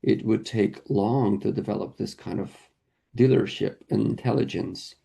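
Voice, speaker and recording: A man interpreting a corporate earnings call live, speaking haltingly in short phrases. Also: on the low side, vocal fry, mellow, husky. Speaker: conference interpreter.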